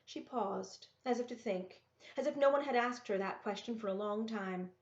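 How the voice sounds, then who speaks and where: A woman's voice: a little high, normal speed, roomy sound. A woman reading a first-person story aloud as a storybook narrator. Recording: slightly dull lo-fi recording.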